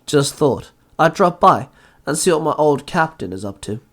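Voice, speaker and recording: An actor voicing a wry, knowing pirate first mate in a suave, theatrical way for an audio drama. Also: male voice, on the high side, animated, normal speed, a bit bright.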